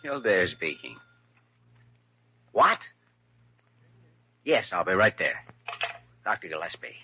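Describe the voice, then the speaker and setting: An actor in an old radio drama playing an earnest, urgent young hospital doctor, brisk and serious. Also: male voice, sits low, big pitch swings, heavily acted. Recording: muffled old radio recording, faint noise.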